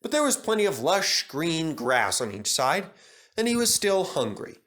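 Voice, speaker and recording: Animated man reading a children's story. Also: on the high side, moderate pace. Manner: expressive storytelling. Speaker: storybook narrator. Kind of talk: scripted children's story narration.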